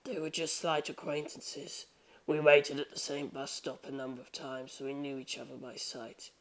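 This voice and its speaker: A man voicing an aloof, bookish teenage boy in an audiobook, speaking softly in a detached deadpan. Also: medium pitch, normal speed, close to an everyday voice, low-quality recording. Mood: flat, casual. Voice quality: hushed, soft.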